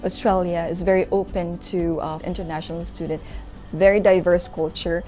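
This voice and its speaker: A woman's voice: fairly low pitch, level tone, moderate pace, mellow. A young woman with a Filipino accent, a master's student giving a promotional testimonial. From Philippines. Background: background music.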